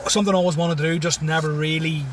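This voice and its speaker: A man's voice: fairly high pitch, fairly even intonation, racing, recorded from a distance, a little hiss or hum. A man in his thirties with a Tyrone accent, chatting casually in a car as a comedian guest and chuckling often. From Syria, raised in Tyrone. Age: 30s. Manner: laid-back, chuckles often. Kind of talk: casual interview chat. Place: inside a parked car. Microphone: phone.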